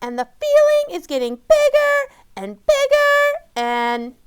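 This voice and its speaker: A tiny, furious storybook creature, shrill and very high. The voice yells impulsively, in full tantrum, with big swoops. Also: female voice, big pitch swings, slow pace, full character voice. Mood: furious, yelling. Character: Amy, furious screaming amygdala, tantrum-throwing little brain creature.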